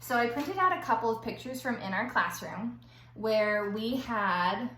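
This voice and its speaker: High-voiced woman teacher in an echoey room. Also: expressive, measured pace, a bit bright. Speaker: elementary school teacher. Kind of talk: children's read-aloud and activity lesson. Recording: echoey room with faint background noise.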